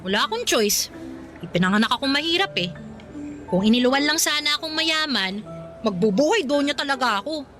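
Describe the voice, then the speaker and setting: A woman's voice: sits high, animated, brisk, a bit bright. An actress playing a feisty, blunt young working-student girlfriend with a Filipino accent, sassy and complaining, in a radio drama. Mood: bitter, determined. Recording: music behind the voice.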